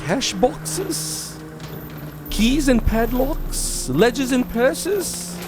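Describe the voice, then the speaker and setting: A man acting in a radio play as a miserly old businessman, gruff and easily frightened, with a stammering, blustering, theatrical manner. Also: very high-pitched, animated, drawn-out words, over music, heavily acted. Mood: awed fear.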